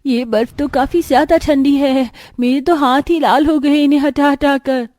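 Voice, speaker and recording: Woman putting on a high, plaintive village girl. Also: steady tone, takes it slowly, a little mellow, no echo, clean studio sound. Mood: complaining.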